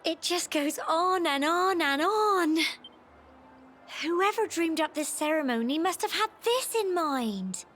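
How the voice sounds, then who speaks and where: Earnest village girl, high voice, slow pace, gentle, game dialogue. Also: animated, exaggerated voice. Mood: awed. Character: sweet, earnest village girl just come of age. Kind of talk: video game voice acting. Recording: clear music underneath.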